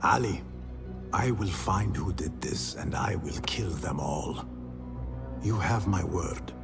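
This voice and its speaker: An actor playing an earnest young male assassin apprentice in a video game, measured and deferential, with music behind him. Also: low-pitched, animated, creaky, heavily acted. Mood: grim, vowing. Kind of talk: dramatic video game acting.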